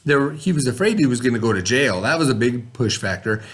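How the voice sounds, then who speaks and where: A man's voice: mid-range voice, animated, fairly quick. A man teaching young children, reading a story aloud and then reviewing it with patient questions.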